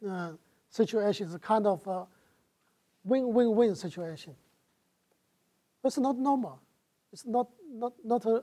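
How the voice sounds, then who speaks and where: A middle-aged man with a Chinese accent, speaking as a guest lecturer in a lecture hall. His voice is mellow and soft-edged, picked up on a lapel mic. He keeps pausing on "uh" and restarting his phrases as he works through an answer. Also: high-pitched. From China. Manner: halting, with frequent "uh" fillers and restarts. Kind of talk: answering audience questions in a talk.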